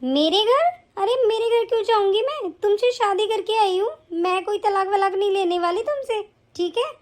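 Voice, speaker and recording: A woman's voice: extremely high pitch, moderate pace. A woman playing a wronged but defiant young wife, pleading indignantly in a family melodrama. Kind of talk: domestic family melodrama acting.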